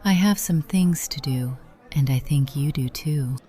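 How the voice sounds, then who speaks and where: An affectionate mature love interest in a visual novel, teasing yet cautious. Her voice is extremely low for a woman and soft-edged, often breathy and whispery, with slow, intimate phrasing. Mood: tender, cautious. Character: Nora, affectionate older aunt, teasing yet cautious lover.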